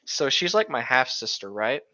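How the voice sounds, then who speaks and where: Bright-voiced young man, slightly lo-fi. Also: mid-range voice, big pitch swings, normal speed. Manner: sarcastic, profane, bantering. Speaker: co-host reading a book aloud with commentary. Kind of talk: casual joking chat.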